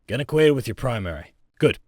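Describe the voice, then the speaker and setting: An actor playing a brusque, foul-mouthed military firearms instructor in a video game, giving blunt, commanding orders. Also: male voice, medium pitch, sing-song, fairly quick, dry recording, clean studio sound. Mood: businesslike, instructive. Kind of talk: scripted game voice lines, instructional and barked commands.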